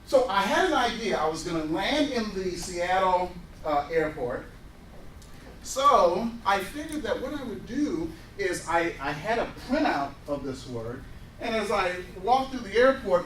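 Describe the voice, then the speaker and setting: A man in his forties leads a workshop for a live audience, weaving personal anecdotes and acting out the dialogue with animation. His voice sits noticeably high. The recording catches him from across a reverberant room, with a faint hum of ambience behind. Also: measured pace. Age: 40s. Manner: animated storyteller who acts out dialogue. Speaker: workshop presenter. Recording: roomy room, voice picked up from a distance.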